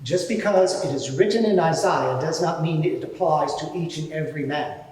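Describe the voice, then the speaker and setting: Man acting high-pitched haughty high priest, echoey hall. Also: normal speed, darkish tone, natural voice. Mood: evasive, dismissive. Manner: emphatic, defensive, formal. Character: haughty, evasive male high priest on the witness stand. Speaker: witness in a dramatised inquiry. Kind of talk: live courtroom drama acting. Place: large reverberant courtroom, live event. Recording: very echoey hall, distant microphone.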